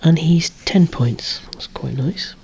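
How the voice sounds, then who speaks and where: A person whispering an ASMR show-and-tell of a collection, musing along in a hushed voice with gently wry asides. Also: medium pitch, slow, vocal fry, slight background noise. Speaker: presenter of a toy collection.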